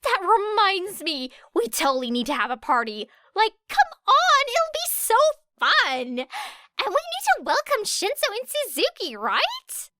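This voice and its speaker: A bubbly teenage girl from an audiobook story, acted by a woman. Her voice is high and swoops around as she gushes with energy. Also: wildly swooping pitch, unhurried, bright, forceful tone, no echo, exaggerated voice. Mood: bubbly excitement. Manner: exclaiming, gushing.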